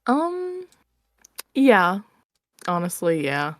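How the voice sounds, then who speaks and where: Lowish voiced woman, casual podcast guest, playful and informal, dry close mic. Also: big pitch swings, very slow, a bit bright, no room sound. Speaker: interview guest, ASMR roleplay creator. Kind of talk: casual chat. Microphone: close microphone on an online call.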